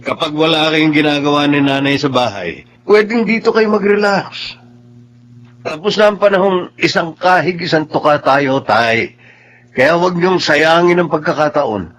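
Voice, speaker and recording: An actor with a Filipino accent playing a boastful, hard-nosed newly rich son in a radio drama, with a brash, domineering manner. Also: male voice, on the high side, expressive, moderate pace, fairly bright tone. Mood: proud, generous.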